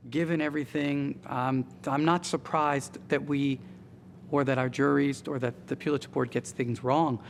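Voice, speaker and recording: Guy in an interview, gravelly mid voice, echoey room with some ambience. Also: mid-range voice, fairly even intonation, moderate pace. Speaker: prize juror interviewee. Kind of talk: documentary interview talk. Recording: long reverb, faint room ambience noise.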